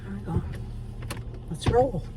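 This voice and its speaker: A woman with a raspy voice, chatting frankly to her viewers while driving, swearing freely. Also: mid-range voice, takes it slowly, recorded from a distance. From Canada. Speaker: vlogger. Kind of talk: casual chat while driving. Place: inside a car. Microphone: phone or camera mounted in the car. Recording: car and road noise behind.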